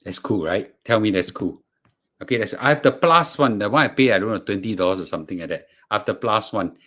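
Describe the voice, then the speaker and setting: A man's voice: fairly low pitch, expressive, sharp tone. A man with a Singaporean accent talking casually to a class as presenter, restarting and repeating words. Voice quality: halting, repeats words, fillers. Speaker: course presenter. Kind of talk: casual live class talk.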